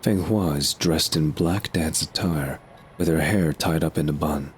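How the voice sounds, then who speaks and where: Super deep guy narrating an audio drama from a script, slight background noise. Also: measured pace.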